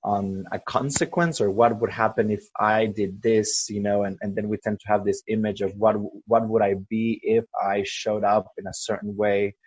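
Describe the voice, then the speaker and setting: A man from El Salvador, a coach being interviewed, speaking thoughtfully with many pauses and ums. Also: lowish voice, flat delivery, husky, dry recording, dull audio. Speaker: guest, coach. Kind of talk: podcast interview.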